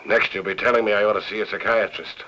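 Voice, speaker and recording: A man's voice: lowish voice, fairly even intonation, fast, pushed and bright, rough recording. A man acting in a 1950s noir film as a bedridden husband, suspicious, morose and sardonic. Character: bitter, suspicious bedridden husband with failing heart. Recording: old film soundtrack with music behind.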